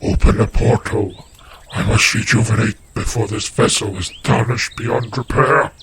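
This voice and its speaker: An actor playing an ancient male demon lord who steals bodies, slow, menacing and contemptuous, with a whispery edge. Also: fairly low pitch, steady tone, background noise, natural voice. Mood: gloating. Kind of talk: audio drama acting. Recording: loud music under the voice. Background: loud music.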